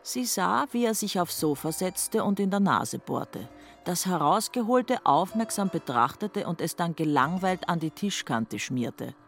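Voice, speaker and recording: A woman's voice: on the low side, expressive, a little slow, faint music behind. A woman reading scripted literary narration for a radio play.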